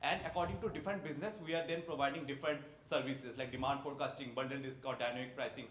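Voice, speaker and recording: A man, a startup founder, explaining his product informally in a live pitch question-and-answer. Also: steady tone, racing, fairly bright tone. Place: indoor event room. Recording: echoey room, faint background noise.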